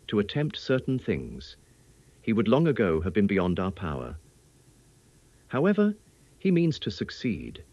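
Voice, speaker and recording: A man performs a learned old professor from a gothic audiobook. He lectures gravely and earnestly in quaint phrasing, his pitch rising and falling widely. The sound is dry and slightly dull. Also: darkish tone, dry recording. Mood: intent, cautionary. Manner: emphatic lecturing in quaint, oddly ordered English. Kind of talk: audiobook character acting.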